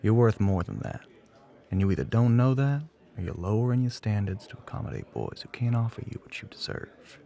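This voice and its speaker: A man playing a smug, flirty, middle-aged bartender with a Southern US accent in an intimate ASMR roleplay, smooth-talking and often dropping toward a whisper. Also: on the low side, sing-song, brisk, dark tone. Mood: earnest, coaxing. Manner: drawling, smooth-talking, pet names, often breathy near-whisper.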